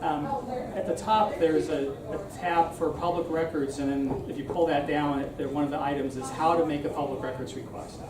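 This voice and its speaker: A man's voice: on the high side, steady tone, moderate pace, hardly any pauses. A man giving an informal public talk in a conversational, explanatory way, often checking in with "right?". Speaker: speaker giving a civic talk. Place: room at a live meeting. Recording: roomy, distant mic, clear background noise.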